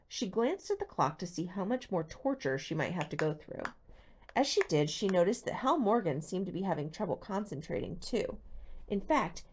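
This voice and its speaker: A woman reading a teen mystery novel aloud as expressive scripted narration. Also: lowish voice, breathy edge. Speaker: audiobook reader.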